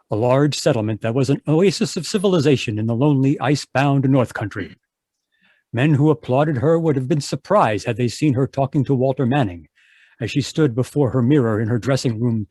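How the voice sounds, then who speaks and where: A man's voice: medium pitch, darkish tone, dry recording. A man narrating an old-time radio adventure as its announcer, reading scripted lines.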